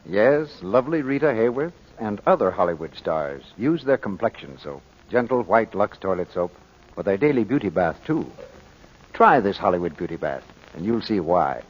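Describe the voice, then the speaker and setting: A male 1940s radio announcer with a low, husky voice. He pitches the copy with wide, lively intonation. The vintage broadcast recording is muffled. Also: normal speed.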